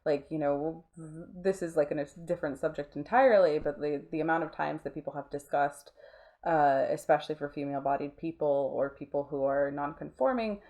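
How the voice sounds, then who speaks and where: Woman chatting in an interview, lowish soft mellow voice, earnest, kinda halting as she explains stuff. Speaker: interview guest, art studio owner. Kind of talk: conversational interview.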